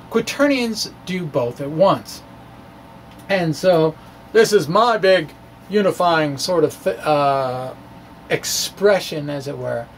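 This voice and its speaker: Halting, high-pitched man, slow theatrical delivery. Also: a little hiss or hum, low-quality recording. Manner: halting, theatrical, self-deprecating. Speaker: physics blogger presenting his own talk. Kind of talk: dramatic reading of a blog with off-script asides.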